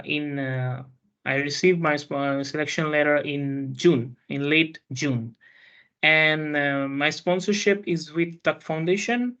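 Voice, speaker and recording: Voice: medium pitch, fairly bright tone, lo-fi sound. A student hosting an online panel, talking hesitantly with many fillers and restarts. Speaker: panel host and student speaker.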